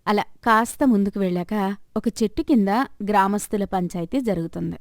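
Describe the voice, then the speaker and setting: A woman's voice: medium pitch, normal speed, no room sound, clean studio sound. A woman narrating a scripted moral story as the storyteller.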